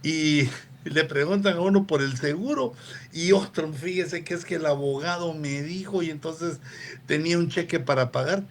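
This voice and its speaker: A man preaching a relaxed, conversational sermon on a radio program, often turning to his listeners with asides. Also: on the high side, a little hiss or hum. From Latin America. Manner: unhurried, digressive, with frequent asides to listeners.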